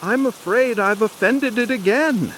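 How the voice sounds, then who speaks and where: A man's voice: high voice, unhurried, a bit bright, slight background noise, voice pushed up, poor audio. A man voicing a polite, eager, chatty little girl in a storybook reading, soothing and apologetic. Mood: flustered, apologetic. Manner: soothing, hesitant, gushing.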